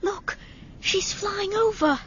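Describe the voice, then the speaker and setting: A woman playing a curious, innocent little boy with a soft, wondering manner in a fantasy audio drama. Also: extremely high pitch, slow pace, full character voice. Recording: dull sound with background noise.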